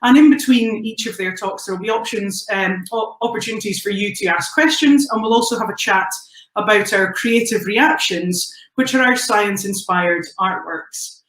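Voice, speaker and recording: A woman's voice: mid-range voice, reverberant, low-quality recording. A woman with a Scottish accent hosting a live online science event, chatty and welcoming as she greets viewers and introduces speakers. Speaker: host.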